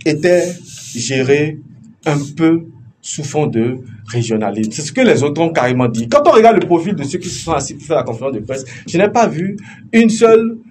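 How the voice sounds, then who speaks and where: A man with a Beninese French accent arguing in a heated political debate, often halting and repeating his words. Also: a little high, sing-song, darkish tone, a little hiss or hum.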